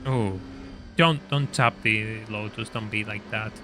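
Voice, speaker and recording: A man's voice: on the low side, measured pace, background noise. A young man from Argentina, chatting casually while streaming a racing game, laughing often and stammering over repeated words. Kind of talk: casual live-stream chat and gameplay commentary.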